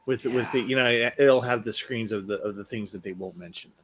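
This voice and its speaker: A man's voice: medium pitch, fairly quick, fairly bright tone, husky. A man co-hosting in casual comic banter, tossing in wry jokes. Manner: wry, joking. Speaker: co-host.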